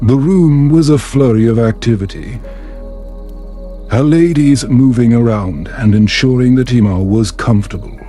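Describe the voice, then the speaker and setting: A man reading a scripted bedtime story as a narrator, in a calm, measured, soothing way. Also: medium pitch, soft background music.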